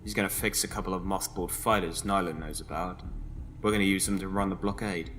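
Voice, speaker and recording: A man's voice: deep voice, fairly even intonation, fast, a bit bright, a little hiss or hum, voice pushed down. A man voicing a cocky, wisecracking male convict leader in an audiobook, breezy and sure of himself. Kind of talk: audiobook character voice.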